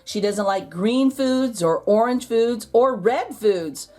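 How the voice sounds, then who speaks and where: A woman's voice: on the high side, lively intonation, takes it slowly, warm tone. A woman host reading a children's storybook aloud expressively, drawing out key words for emphasis. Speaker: children's picture book read-aloud host.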